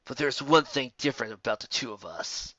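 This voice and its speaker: A man's voice: mid-range voice, animated, brisk, pushed and bright, no echo, muffled recording. A man voicing a sinister pegasus stallion henchman in a story, casual, taunting and falsely innocent. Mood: smug menace.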